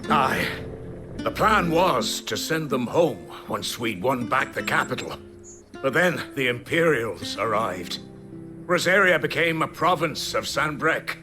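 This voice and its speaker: Man acting an animated, deferential village mayor. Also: medium pitch, a little slow, over music, natural voice. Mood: somber, recounting. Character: earnest deferential older male village mayor, fantasy drama. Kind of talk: dramatic fantasy game acting.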